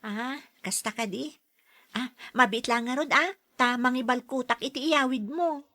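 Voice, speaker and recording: A woman's voice: on the high side, moderate pace, sharp tone. A woman playing a friendly, hospitable schoolgirl in an audio drama, sweet and a little coy.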